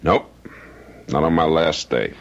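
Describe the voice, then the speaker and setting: An actor playing a weary, stubborn middle-aged policeman and husband in an old-time radio drama, plain-spoken, gravelly and husky. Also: extremely low pitch, steady tone, slow, full character voice. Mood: firm. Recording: dull, lo-fi radio recording.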